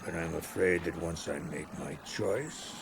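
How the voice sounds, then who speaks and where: Gravelly, low-voiced genial old king. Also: noisy background. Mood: welcoming, troubled. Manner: stately, deliberate, courtly. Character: genial, worried older Greek king and father. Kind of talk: dramatic game cutscene acting.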